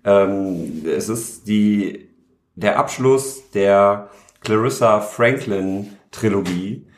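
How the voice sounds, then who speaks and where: A man's voice: on the low side, extremely slow. A man co-hosting a casual podcast chat, talking enthusiastically with many filler sounds. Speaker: podcast co-host. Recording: roomy echo.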